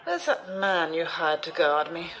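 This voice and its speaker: A woman's voice: on the low side, big pitch swings, measured pace, a bit bright, rough recording. An actress playing an imperious, disapproving old lady emissary with formal speech in an audio drama. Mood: haughty.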